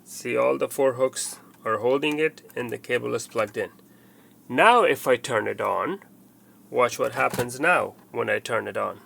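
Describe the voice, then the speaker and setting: A man with a mid-pitched, gravelly voice talks through a hardware installation. He explains each step patiently, circling back and repeating himself with small fillers as he works on the equipment. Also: unhurried, fairly bright tone. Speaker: tutorial presenter. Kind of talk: hands-on product installation tutorial.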